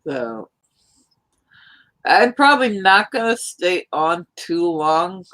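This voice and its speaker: A woman from New York chatting casually on a live stream, rambling in a loud, hyper way. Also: lowish voice, big pitch swings, slow pace. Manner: rambling, hyper, self-described loud talker.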